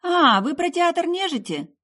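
A woman voicing an odd, chatty young sorceress in an audiobook, breezy and quick to take offence. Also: fairly high pitch, lively intonation, voice pushed up. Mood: cheerful. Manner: breezy, indignant, talkative.

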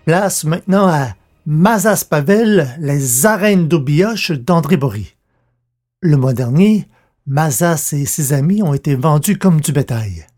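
A man's voice: fairly high pitch, expressive, takes it slowly, a little mellow, faint music behind. A man reading scripted audiobook narration as the presenter and narrator.

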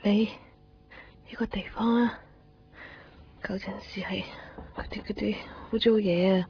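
A young woman playing a mysterious, hesitant and excitable phone cleaner in a radio drama, with a Hong Kong Cantonese accent. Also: medium pitch, measured pace, natural voice. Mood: secretive, hushed. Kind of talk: 1990s radio drama acting. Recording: dull lo-fi radio recording, faint music.

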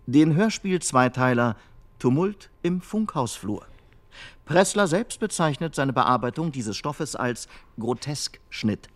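Casting: 1960s continuity announcer, formal scripted delivery, mid register. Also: male voice, mid-range voice, animated, takes it slowly. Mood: formal, neutral.

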